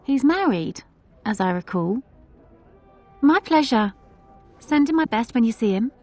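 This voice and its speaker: An actress playing a friendly, chatty tavern barmaid in a video game, with a casual, familiar manner. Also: female voice, on the high side, animated, a little slow, a little mellow, soft background music. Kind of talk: video game character acting.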